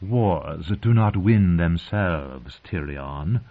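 A man voicing a haughty, sharp-tongued queen mother in a fantasy audiobook, arch and argumentative. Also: low-pitched, lively intonation, very slow, vocal fry, full character voice. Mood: poisonous sweetness. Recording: narrow, phone-like lo-fi audio.

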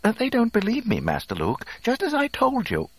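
Prissy nervous robot butler type for a storybook, way up high, swoops all over, fretting. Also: male voice, wildly swooping pitch, moderate pace, breathy edge, no room sound, heavily acted, low-quality recording. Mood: indignant fretting. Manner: prim, fretful, protesting. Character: fussy, anxious golden male protocol droid interpreter.

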